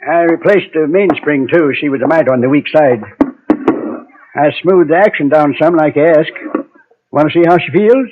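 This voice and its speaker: Guy doing a folksy american gunsmith from an old western radio show, high voice, dull hissy recording. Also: moderate pace, close to an everyday voice. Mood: friendly, chatty. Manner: folksy, drawling frontier phrasing. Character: folksy, chatty, firm Old West gunsmith shopkeeper. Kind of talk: 1950s Western radio drama acting.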